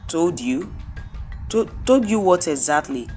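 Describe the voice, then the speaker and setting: A woman's voice: on the low side, big pitch swings, measured pace, darkish tone, soft background music. A woman storyteller playing a frail, humble young woman who speaks hesitantly and questioningly. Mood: disbelieving.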